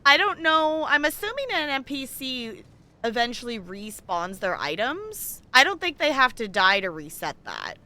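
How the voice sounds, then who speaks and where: A woman's voice: on the high side, very animated, moderate pace, sharp tone. A woman hosting a game livestream, chatting casually and thinking aloud in a rambling way as she plays. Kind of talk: casual chat while playing an online game.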